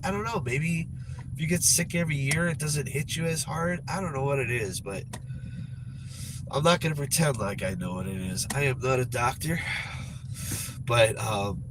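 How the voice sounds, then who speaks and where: Man, congested nasal voice, rambling casual vlog, repeats himself, sighs, clear background noise. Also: medium pitch. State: stuffed-up nose, congested. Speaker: vlogger.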